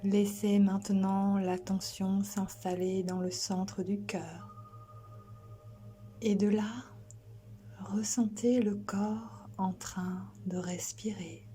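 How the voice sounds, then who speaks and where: A woman guiding a sleep relaxation as a yoga instructor, soothing and gentle, soft and breathy, often near a whisper, with long pauses. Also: mid-range voice, flat delivery, faint music behind.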